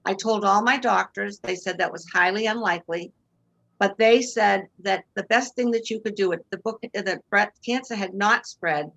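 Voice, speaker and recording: A woman's voice: medium pitch, moderate pace, gravelly, a bit bright, rough recording. A woman in her sixties with a Boston accent, a guest on a video call telling her story with earnest faith. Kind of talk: personal testimony in interview. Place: home room indoors. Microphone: video call webcam.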